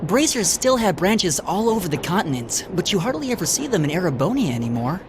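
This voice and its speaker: An actress playing a thoughtful, friendly young student in a video game, speaking tentatively but expressively. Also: female voice, fairly low pitch, big pitch swings, fairly quick, over music. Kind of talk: video game cutscene dialogue acting.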